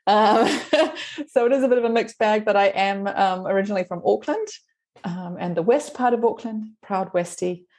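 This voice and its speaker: A woman's voice: mid-range voice, moderate pace, a little mellow. A woman from New Zealand with a mixed New Zealand accent, talking casually as an interview guest, chatty with frequent ums. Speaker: guest, education marketing consultant.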